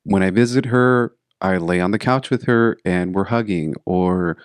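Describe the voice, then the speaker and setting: A man hosting a casual interview, relaxed and curious, tossing out quick follow-up questions. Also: deep voice, clear, resonant tone, no echo, studio quality. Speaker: podcast host.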